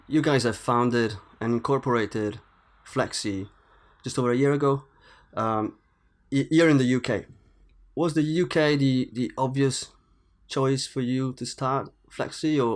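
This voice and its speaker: Podcast host man, mid pitch. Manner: reads a scripted intro, then asks questions with some stumbles. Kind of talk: podcast intro and interview.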